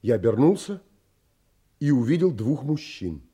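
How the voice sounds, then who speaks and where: A man playing a thoughtful traveller who recounts his wonder in measured, polite audio drama narration. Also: mid-range voice, very animated, mellow, husky. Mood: rapt, reflective. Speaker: narrator and lead actor in an audio play.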